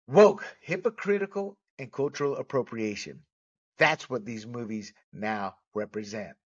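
A middle-aged American man giving opinionated solo commentary, emphatic and halting, stretching out key words. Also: mid-range voice, breathy edge. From United States. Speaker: movie commentator. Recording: dull, lo-fi sound.

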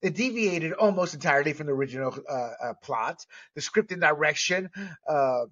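A middle-aged American man giving opinionated solo commentary, emphatic and halting, stretching out key words. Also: fairly high pitch, lively intonation, a bit bright. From United States. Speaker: movie commentator. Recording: dull, lo-fi sound.

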